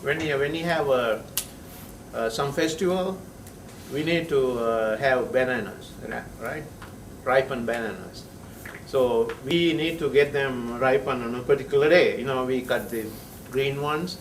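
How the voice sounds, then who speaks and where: A male chemistry professor, lecturing a class in a halting way, with many pauses and frequent checks of "right?". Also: mid-range voice, far from the mic, a little hiss or hum. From Sri Lanka. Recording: echoey classroom heard from afar.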